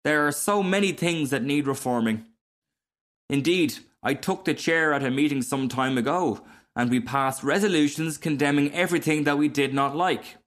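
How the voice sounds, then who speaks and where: A man's voice: a little high, moderate pace, a bit bright, voice pushed up, close to an everyday voice. A homely female duck from a storybook , put on by a male reader. She is peaceful and good-natured, chatting mildly and agreeably. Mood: chatty, mild. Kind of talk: storybook character reading.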